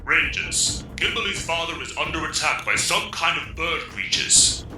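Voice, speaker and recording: A man acts a solemn male alien mentor in an audio drama. His urgent voice is piercingly bright and very echoey, sounding far off over faint music. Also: on the high side, lively intonation, normal speed. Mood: grave, urgent. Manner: urgent, grave. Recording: distant, very echoey, music behind.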